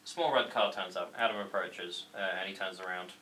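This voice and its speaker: A man's voice: low voice, fairly quick, sharp tone. A man reading stage directions at a table read, informal and stumbling a little over the script. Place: indoor room. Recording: echoey room with faint noise.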